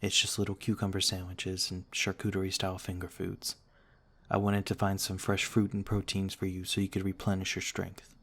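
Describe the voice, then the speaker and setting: Man as a low, even-toned noble vampire. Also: husky, full character voice. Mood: kindly, reassuring. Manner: gentle, formal, measured. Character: courteous, caring noble vampire lord, male, calm protector. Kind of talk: ASMR roleplay acting.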